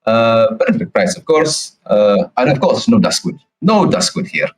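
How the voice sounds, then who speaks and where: A man voicing an earnest, fussy herbalist shopkeeper and zealous protester in a tabletop game, speaking in a halting, plaintive way. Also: mid-range voice, very animated, natural voice. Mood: welcoming.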